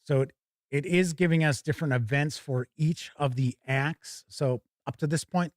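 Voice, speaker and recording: A man's voice: mid-range voice, darkish tone, no echo, studio quality. A man hosting a tabletop gaming show, chatting casually and thinking aloud as he gives live first-look commentary.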